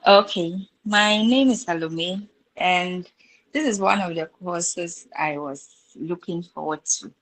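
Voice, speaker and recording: A woman taking part in an online course, speaking slowly and carefully on a call. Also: on the low side, fairly bright tone, rough recording. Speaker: course participant.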